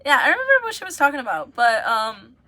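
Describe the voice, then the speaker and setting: A woman's voice: high voice, huge pitch swings, quick pace, bright, forceful tone. A young woman from the United States, chatting casually and giggling as she rambles to viewers on a livestream.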